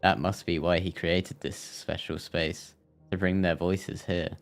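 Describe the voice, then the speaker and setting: A man's voice: low-pitched, level tone, measured pace, vocal fry, soft background music. A man livestreaming a video game, reacting casually and reading dialogue aloud.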